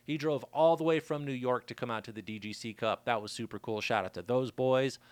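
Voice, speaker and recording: Laid-back man with a raspy throat. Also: medium pitch, fairly quick, no room sound. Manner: laid-back, loose, chatty with shout-outs. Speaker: podcast host. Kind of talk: casual rambling monologue. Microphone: studio mic.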